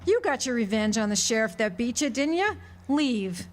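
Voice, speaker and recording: A woman's voice: fairly high pitch, very animated, moderate pace. An actress playing a bitter, guarded small-town woman in a radio drama, blunt and resigned. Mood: bitter, defiant. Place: live amphitheater stage performance. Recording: live stage recording with music.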